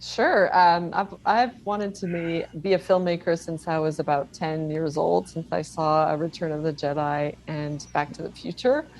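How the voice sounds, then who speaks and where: A woman's voice: low voice, moderate pace, hardly any pauses, a little mellow. A woman from Canada answering interview questions conversationally as a film director. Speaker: interview guest, writer-director. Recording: noisy line with background ambience.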